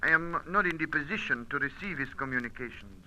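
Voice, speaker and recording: A man's voice: fairly high pitch, expressive, fast talker, bright, forceful tone. A man playing a courteous informant in an old-time radio drama, speaking in florid, careful, formal phrases through a telephone. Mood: apologetic, formal. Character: formal, courteous Latin American male informant. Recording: dull, band-limited phone-call sound.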